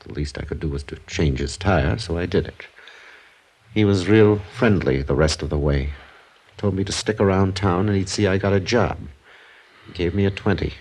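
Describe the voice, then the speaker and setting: An American actor playing a weary, bitter, soft-mannered ex-con drifter near sixty in a 1950s radio crime drama. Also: male voice, sits low, slightly rough, rough recording.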